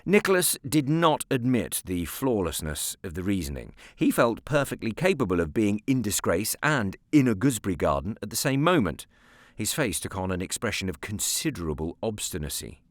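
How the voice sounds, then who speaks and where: British male audiobook narrator: lowish voice, hugely varied intonation, wry measured delivery, close dry sound. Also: very animated, dry recording. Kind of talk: expressive scripted story narration.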